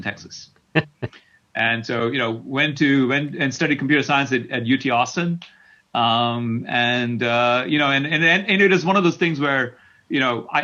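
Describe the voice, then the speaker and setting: A man's voice: mid-range voice, bright, forceful tone, muffled recording. A middle-aged man from Kenya answering as an interview guest, repeating words as he thinks aloud. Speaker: tech company CEO, interview guest.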